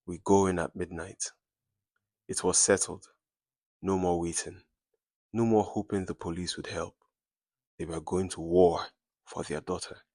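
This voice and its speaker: A man's voice: sits low, dry recording. A man narrating a scripted dramatic story in suspenseful, short clipped phrases.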